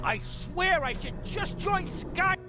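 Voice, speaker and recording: A man voicing a fussy, exasperated worker who demands quiet in a video game. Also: extremely high pitch, expressive, slow pace, over loud music, exaggerated voice. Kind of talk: acted video game dialogue.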